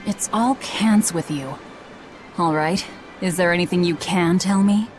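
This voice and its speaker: A woman's voice: lowish voice, a little slow, natural voice. A woman playing a blunt, guarded young adventurer in a video game cutscene, with a dry delivery. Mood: exasperated. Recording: music under the voice.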